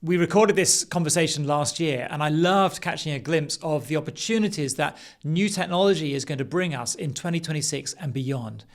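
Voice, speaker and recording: Upbeat higher-voiced male podcast host. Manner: upbeat, quick questions. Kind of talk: interview and scripted intro.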